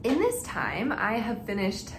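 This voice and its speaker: Female hobby podcast host, medium pitch, informal and chatty, self-interrupting, roomy home-style recording. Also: huge pitch swings, measured pace, gravelly. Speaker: knitting and reading podcast host. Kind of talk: casual chat to viewers. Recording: echoey room with faint background noise.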